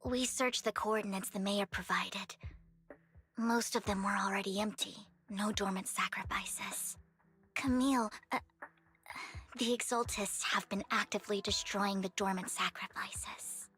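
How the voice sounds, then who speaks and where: A woman's voice: medium pitch, steady tone, a little slow, bright, forceful tone, soft background music. A woman voicing an earnest, formal young woman with a troubled past in a video game. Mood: somber, confiding.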